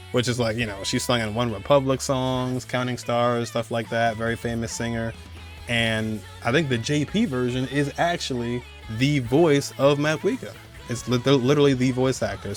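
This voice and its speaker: A man in his twenties giving casual, rambling commentary as he hosts a video countdown. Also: mid-range voice. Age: 20s. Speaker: video host. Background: music under the voice.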